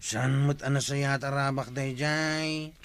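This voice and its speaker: An actor with an Ilocano accent playing a curious, cautious village sidekick in a radio comedy drama, talking in a questioning way. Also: male voice, mid-range voice, flat delivery, a little slow, a bit bright, clear, resonant tone, no echo, natural voice. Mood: wary.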